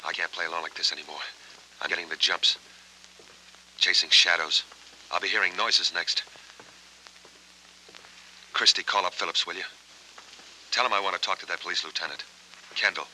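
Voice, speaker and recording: An actor playing a guarded, restless war veteran in a 1940s film noir, speaking tersely on a noisy old soundtrack. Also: male voice, deep voice, steady tone, normal speed, long pauses, sharp tone. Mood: jittery. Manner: clipped, terse, evasive.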